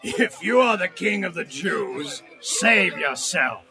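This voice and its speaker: A man's voice: mid-range voice, unhurried, crowd noise, natural voice. A man playing an intense bystander at a crucifixion in a dramatised scripture reading, emphatic and theatrical, over music. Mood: taunting. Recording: music behind the voice.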